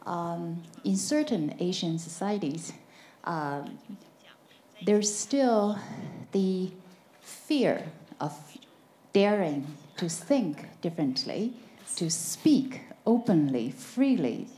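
Soft-spoken woman asking from an echoey hall. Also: fairly low pitch, lively intonation, slow pace, a little hiss or hum. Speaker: audience member asking question. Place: large conference hall audience.

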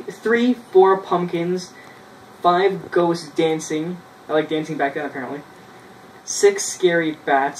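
A man, casually reading his old writing aloud in a halting way, with self-mocking asides, in a small bedroom. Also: a little high. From Canada. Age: 20s. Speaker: vlogger. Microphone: camera a few feet away. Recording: roomy, distant mic with noise.

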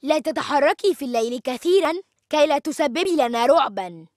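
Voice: high voice, lively intonation, brisk, no room sound, clean studio sound. An actor voicing a cheeky young boy in a cartoon, who teases and mocks his siblings with sarcasm. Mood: teasing. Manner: sarcastic, teasing. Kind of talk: animated cartoon dialogue.

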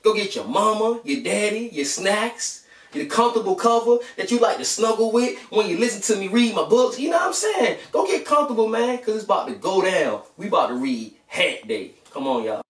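A man's voice: high-pitched, sing-song, brisk, a bit bright. A young American man hosting a children's bedtime read-aloud in an informal, slangy way. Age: young adult. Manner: informal, slangy, addressing listeners familiarly. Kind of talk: casual chat to children and storybook reading. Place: small room at home, indoors. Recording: echoey room, distant camera microphone.

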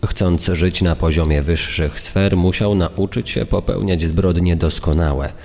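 A man's voice: sits low, fairly even intonation, measured pace, creaky, darkish tone, soft background music, full character voice. A man delivering scripted true-crime narration as a secondary narrator. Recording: long reverb.

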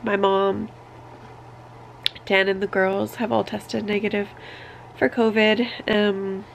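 Casting: woman, thirties, congested and under the weather, heavy vocal fry, casual bedroom vlog with faint background noise. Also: medium pitch, flat delivery, slow pace, low-quality recording. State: congested with a cough, sick. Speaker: family vlogger. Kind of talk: casual personal vlog update. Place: small bedroom, indoors. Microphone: camera held at arm's length.

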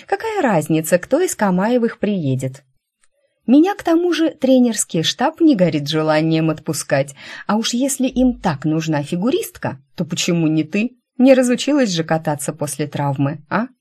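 Casting: bubbly young female skater as a woman narrator plays her, persuasive, emotional, lively swooping intonation, audiobook. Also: fairly low pitch, normal speed. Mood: breezy, persuasive. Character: bubbly, pleading young twin sister, a figure skater.

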